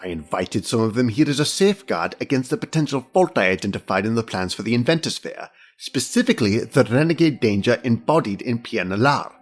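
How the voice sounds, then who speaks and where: A man playing a fastidious, analytical senior inspector, speaking with precise, formal pomposity in an audio drama. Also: medium pitch, lightly acted. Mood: defensive, exacting.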